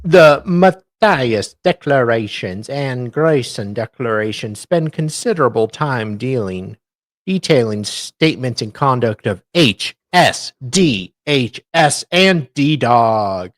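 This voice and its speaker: A man's voice: medium pitch, expressive, slow, no echo, studio quality. A man co-host reading formal text aloud, stumbling now and then over the words.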